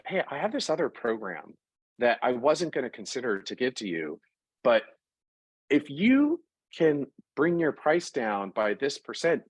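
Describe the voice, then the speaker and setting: A man being interviewed about his work in fashion, talking in a lively, conversational way and working through examples with frequent restarts. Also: medium pitch, slightly rough, no echo, poor audio. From Puerto Rico. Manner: animated, talks through examples with self-corrections. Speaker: interview guest, fashion product executive.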